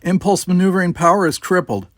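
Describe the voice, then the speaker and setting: A man's voice: high-pitched, expressive, fast talker, no echo, studio quality, voice pushed up. A man voicing an urgent male starship engineer calling out damage reports in an audiobook. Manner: calling out over noise. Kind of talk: dramatized audiobook dialogue.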